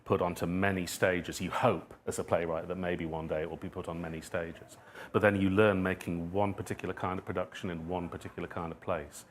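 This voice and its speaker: A man's voice: sits low, slight background noise. A man answering questions at an informal public talk as a writer, thinking aloud and often rephrasing his thoughts. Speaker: guest speaker, writer and playwright.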